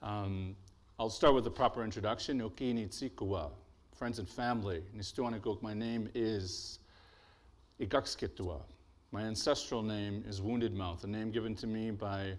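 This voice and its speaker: A man with a deep, bassy voice giving a relaxed, joking symposium talk as an artist, speaking into a microphone in a lecture hall. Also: normal speed. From Blackfoot territory, Alberta. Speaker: artist and presenter. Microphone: handheld or podium microphone.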